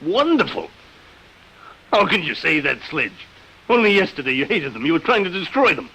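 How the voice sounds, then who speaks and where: High, husky, anguished man. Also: big pitch swings, moderate pace, pushed and bright, full character voice, poor audio. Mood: despairing outrage. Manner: intense, anguished, stammering. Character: agitated, despairing man horrified by robot rule. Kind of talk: 1950s radio drama acting. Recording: old radio broadcast recording.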